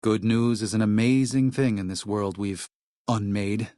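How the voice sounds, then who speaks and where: A man's voice: lowish voice, steady tone, measured pace, warm tone, dry recording, studio quality, close to an everyday voice. Male actor as video game missionary, devout, guilt-burdened, earnest, grave, closely recorded with no room sound. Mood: earnest, reverent. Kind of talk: video game character dialogue.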